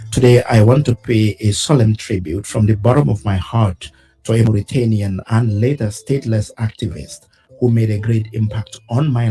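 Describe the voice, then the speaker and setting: A man presents a weekly news program in a measured, solemn read. His voice sits low and sounds warm and relaxed. Soft music plays faintly beneath his words. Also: slightly rough, rough recording. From West Africa. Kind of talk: scripted news reading.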